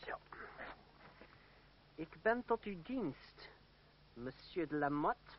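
An actor playing a mocking, razor-tongued young French revolutionary lawyer and duellist in a period radio drama, theatrical and mock-courteous. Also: male voice, a little high, big pitch swings, slow pace, slight background noise. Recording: dull, lo-fi old radio play, crowd behind.

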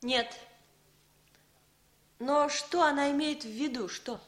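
A woman's voice: high-pitched, slow pace. An actress playing a shrewd, composed spy in a Soviet radio play, pointed and knowing in her delivery. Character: shrewd, composed female spy colleague named Jenny.

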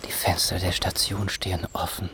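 A man's voice: sits low, flat delivery, normal speed, heavy background noise. A man narrating a radio drama in short, scripted descriptive phrases. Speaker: second narrator.

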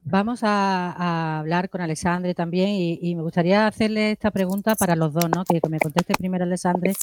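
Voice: medium pitch, fairly even intonation, fairly quick, clear, resonant tone. A radio co-host putting questions to guests in a live interview.

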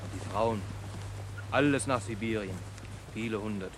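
A man's voice: fairly low pitch, moderate pace, lightly acted. An actor playing a talkative, sympathetic coachman in a 1960s German radio drama, plain and deferential in manner. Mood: matter-of-fact pity. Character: talkative, sympathetic coachman, a simple working man. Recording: noisy old radio recording, vehicle sound effects.

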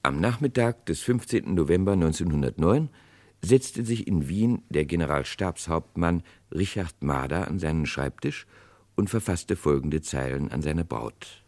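A man with an extremely deep voice acts as narrator in a dry studio. He delivers scripted true-crime narration in the 1960s radio manner. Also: lively intonation, unhurried, darkish tone, no echo.

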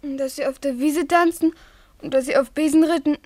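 A female performer plays a frightened little girl in a German radio play. The voice is very high and childlike, with an earnest, breathless, pleading manner. The sound is dry, without room echo. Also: fairly even intonation, normal speed, a little mellow, lightly acted. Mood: fearful, earnest. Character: frightened, clinging little schoolgirl.

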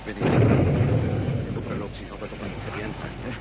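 A man's voice: fairly low pitch, monotone, normal speed, natural voice. A man acting in a Dutch science-fiction radio drama as a weary, anxious ex-astronaut with an earnest, dramatic delivery. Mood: grave, desperate. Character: weary, anxious male ex-astronaut on a desperate mission. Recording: very noisy old radio drama recording.

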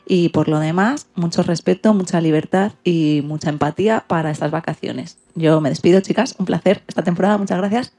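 Very low-voiced woman hosting, Spain accent. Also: fairly quick, hardly any pauses, dark tone. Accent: Spain Spanish. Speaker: radio show host. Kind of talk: loosely scripted radio presenting.

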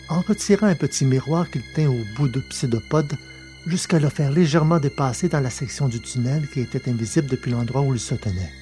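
A male narrator reads a science-fiction tale from a script. His voice sits at an average pitch, with faint music behind it. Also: warm tone.

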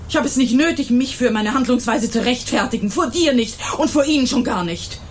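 A woman's voice: on the high side, animated, a little fast, faint music behind, lightly acted. A middle-aged woman acting an indignant, self-righteous mother in a German radio crime drama, with a stern, emphatic delivery. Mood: scolding, outraged. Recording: 1980s radio drama with faint noise.

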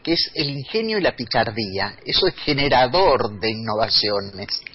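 A man's voice: medium pitch, animated, moderate pace, breathy edge. A man, speaking as a radio guest and explaining in a lecturing way with many restarts. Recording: dull lo-fi radio recording.

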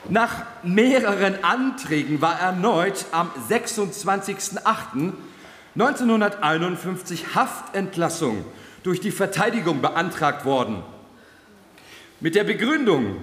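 An actor playing a formal, faintly sardonic chronicler who declaims a legal record in a radio drama. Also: male voice, high voice, fairly bright tone, full character voice. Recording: echoey reverberant hall, faint noise.

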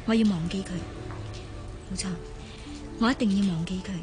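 A woman's voice: mid-range voice, moderate pace, close to an everyday voice. An actress playing a young working woman in a Cantonese radio drama, wistful, polite and bashful, with a Hong Kong accent. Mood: wistful, torn. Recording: radio drama with music underneath. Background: background music.

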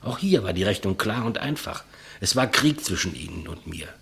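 An actor playing a humble, despised executioner in a 1950s German radio play, deferential, plain-spoken and reflective. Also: male voice, low voice, very animated, moderate pace, vocal fry, a bit bright, slightly rough, exaggerated voice. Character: humble, despised old-school male executioner, quietly matter-of-fact. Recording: muffled vintage 1959 radio recording.

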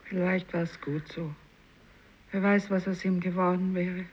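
Eine Schauspielerin spielt in einem literarischen Hörspiel eine düstere, fatalistische Fischersfrau. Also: female voice, lowish voice, steady tone, normal speed, slightly rough. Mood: fatalistic. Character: sombre, fatalistic fisherwoman foretelling misery. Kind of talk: 1950s literary radio play acting. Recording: dull, lo-fi radio recording.

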